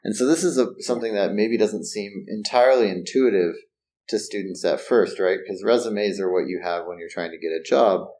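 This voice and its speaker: A man's voice: fairly low pitch, moderate pace, darkish tone. A man hosting a podcast, upbeat and casual, asking questions and saying "right?" often. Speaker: podcast host.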